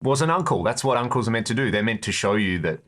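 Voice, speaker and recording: A man's voice: on the low side, lively intonation, fast talker, a bit bright. A man with an Australian accent chatting as an interview guest, telling stories about his own experiences in a conversational way. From Perth, Australia. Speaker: interview guest, guitarist in a touring band.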